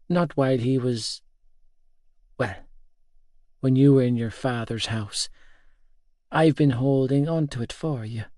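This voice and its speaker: A man voicing a frail, tender but firm old great-grandmother in an audiobook, speaking softly and affectionately. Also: mid-range voice, no echo, clean studio sound, natural voice. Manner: hushed, slow, affectionate. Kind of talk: audiobook character dialogue.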